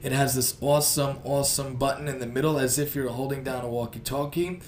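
Middle-aged American male podcast host, medium pitch, casual and enthusiastic, slightly noisy recording. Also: steady tone, a little fast. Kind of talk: casual solo podcast talk, tip of the day.

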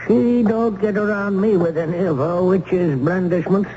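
Man acting a high-voiced, crusty old jailer. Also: moderate pace, mellow, close to an everyday voice. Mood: cocky. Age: old, playing eighty-one. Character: eighty-one-year-old male prison turnkey, cocksure and crusty. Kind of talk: old-time radio drama acting. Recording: muffled, noisy old radio recording.

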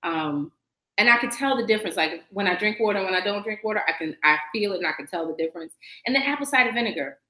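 Woman, enthusiastic podcast co-host, bright voice, roomy echo. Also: medium pitch, fast. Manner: lively and enthusiastic, hesitant fillers. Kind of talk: casual live-stream chat.

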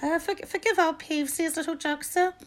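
A storybook prankster spirit, male and mischievous, acted out by a woman. The voice is very high and shrill, with drawn-out, sing-song words that can turn from taunting to greasily servile. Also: steady tone. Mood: fawning, servile. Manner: taunting then fawning.

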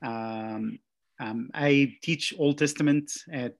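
A man speaks as a guest at a church service, measured and thoughtful. He pauses often with "uh" and "um" as he finds his words. His pitch sits in an ordinary middle range. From Egypt. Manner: measured, many "uh" and "um" hesitations. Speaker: seminary professor and former pastor, guest.

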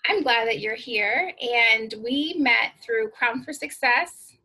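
Woman podcast host chatting casually, kinda high bright voice. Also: takes it slowly, poor audio.

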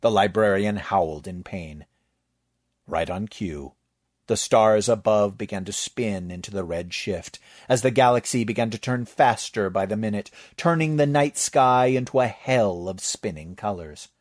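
A man reading a story aloud in measured, scripted narration. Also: on the low side, no echo, clean studio sound. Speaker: story reader.

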